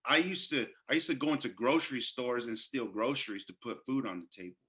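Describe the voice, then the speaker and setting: Guest, male, 30s, bright forceful tone, earnest storyteller who restarts often. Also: mid-range voice, a little fast. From Northern California. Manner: earnest, many fillers and restarts. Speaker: guest health coach. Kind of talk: casual interview storytelling.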